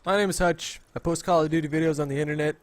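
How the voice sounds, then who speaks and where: A man's voice: fairly high pitch, steady tone, very fast. A man who makes gaming videos, giving a short channel introduction.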